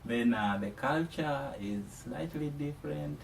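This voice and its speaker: Gravelly-voiced man from Uganda. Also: mid-range voice, unhurried. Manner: casual, frank, expressive. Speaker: international student. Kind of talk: interview answers. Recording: roomy, distant, light noise.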